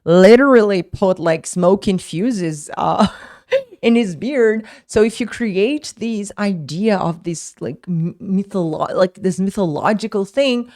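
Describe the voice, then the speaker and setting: A woman's voice: medium pitch, expressive, slow pace, a little mellow. A young woman whose first language is Portuguese, teaching English in a casual online lesson, talking in a chatty way with many fillers and restarts.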